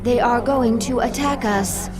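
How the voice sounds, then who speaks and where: Lady doing game alien minion, highish voice, formal n obedient, big echo on it. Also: measured pace, loud music behind the voice, close to an everyday voice. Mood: dutiful, concerned. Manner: formal, deferential. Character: dutiful, questioning female alien minion of a queen. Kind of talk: video game cinematic acting. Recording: long reverb, noisy ambience behind.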